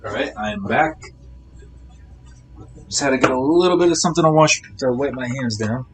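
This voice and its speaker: A man's voice: mid-range voice, animated, normal speed. A man chatting casually on a live game stream, rambling to himself as he eats. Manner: rambling, talking to himself while eating.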